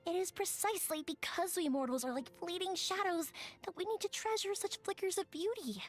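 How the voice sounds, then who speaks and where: A woman voicing a cheerful, warm-hearted young fireworks maker who tells stories in an animated, chatty way, as a video game character. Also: extremely high pitch, a little fast, faint music behind. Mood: thoughtful. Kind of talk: video game character voice lines, conversational storytelling.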